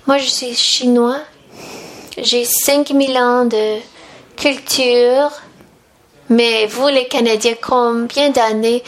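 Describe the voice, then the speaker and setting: A woman interpreting a sermon, delivering the preaching phrase by phrase. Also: a little high.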